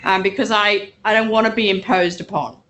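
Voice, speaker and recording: A woman's voice: mid-range voice, fairly even intonation, a little fast, a bit bright, poor audio. A woman lecturer teaching a university class in a conversational way, with many fillers and checks like "right?".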